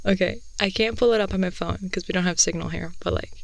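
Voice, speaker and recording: A young woman playing a talkative, affectionate, playful girlfriend in an improvised ASMR roleplay, rambling softly and often slipping toward a whisper, close to the microphone. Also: fairly low pitch, fast, creaky, dry recording. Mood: chatty, eager. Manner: rambling, giggly, with doting asides. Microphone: close headphone-oriented ASMR microphone.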